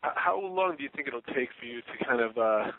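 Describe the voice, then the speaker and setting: Fast-talking man on a phone line. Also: medium pitch, expressive, no room sound. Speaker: reporter. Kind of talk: asking questions on a press call. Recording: thin, narrow phone line.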